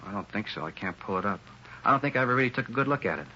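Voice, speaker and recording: A man's voice: on the low side, expressive, very fast, lightly acted. A man playing a weary, talkative small-time crook in a 1950s radio police drama, with slangy streetwise talk. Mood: slangy, forthcoming. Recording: dull, noisy 1950s radio recording.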